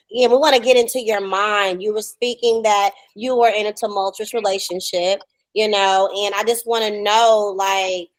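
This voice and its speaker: A woman in her thirties hosting a casual interview on a video call, talking in an expressive, colloquial way. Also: medium pitch, no echo, poor audio. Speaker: podcast host.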